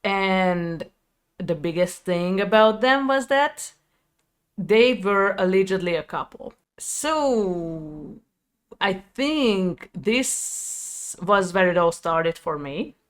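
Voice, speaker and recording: Slow-paced Hungarian woman with a clear voice. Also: mid-range voice, animated. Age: 30s. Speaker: video creator. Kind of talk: personal storytime to viewers.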